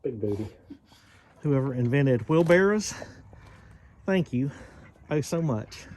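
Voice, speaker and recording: A man's voice: mid-range voice, lively intonation, slow pace, a little mellow. A man vlogging casually off the cuff in a folksy, rambling, slangy way. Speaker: host of a garage and farm vlog. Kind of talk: casual vlog chat, off the cuff. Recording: clearly noisy ambient background.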